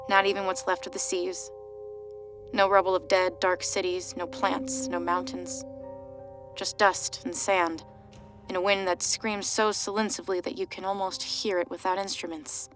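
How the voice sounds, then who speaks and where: A woman narrating a scripted story in a measured, dryly ironic manner. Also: on the low side, little pitch movement, music in the background.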